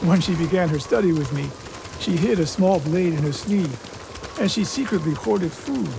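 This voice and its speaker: An actor playing a stern, regretful old samurai archery master in a dramatic video game. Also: male voice, high-pitched, expressive, normal speed, creaky, noisy background. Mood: grave, reflective.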